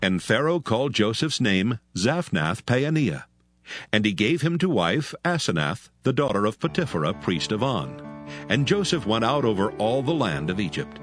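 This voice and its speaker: Guy narrating a bible audio drama, deep and expressive, formal, music under it. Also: normal speed. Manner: formal, storytelling delivery. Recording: music behind the voice.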